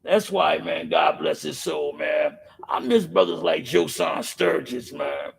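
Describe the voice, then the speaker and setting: A middle-aged man with a raspy voice, speaking in an impassioned, profane monologue on a podcast, filling his pauses with "man" and circling back through restarts. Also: on the high side, slight background noise. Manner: rambling with restarts. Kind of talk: impassioned street-life monologue.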